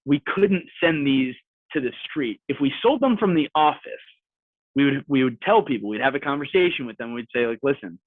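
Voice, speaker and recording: Animated man on a tinny line. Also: mid-range voice, no echo. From Central New Jersey. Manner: animated storyteller, swears casually. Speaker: podcast guest. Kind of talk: casual chat. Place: home room. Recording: tinny phone-like line, dry.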